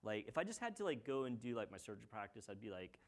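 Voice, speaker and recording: A man, a surgeon hosting a casual talk show, rambling quickly with lots of fillers. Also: lowish voice, sing-song. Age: 40s. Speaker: plastic surgeon and podcast host. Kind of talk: casual chat.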